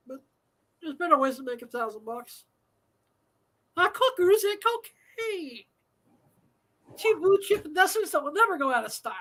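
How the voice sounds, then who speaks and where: A man's voice: extremely high pitch, pushed and bright. A man hosting a live show from home, talking in a rambling, profane way with many fillers, a slightly nasal voice and a dry throat. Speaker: host. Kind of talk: casual live show monologue.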